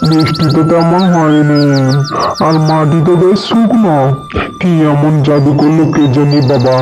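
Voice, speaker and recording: A man's voice: fairly high pitch, moderate pace, natural voice. A man voicing a suspicious, nosy village farmer in a cartoon, needling and questioning. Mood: puzzled. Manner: needling, interrogating. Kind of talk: Bengali cartoon voice acting. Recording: music under the voice.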